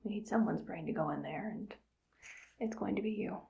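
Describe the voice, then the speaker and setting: A woman voicing a cold, calm evil scientist who harvests brains, whispering softly in a clinical, matter-of-fact way for an ASMR roleplay. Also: mid-range voice, a little fast, gravelly, natural voice. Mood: coolly clinical. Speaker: ASMR creator.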